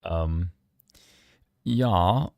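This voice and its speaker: Sardonic low-voiced man from South Africa. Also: drawn-out words, vocal fry, darkish tone, dry recording, studio quality. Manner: blunt, sardonic, profane quips. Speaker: stream co-host. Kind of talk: casual political chat.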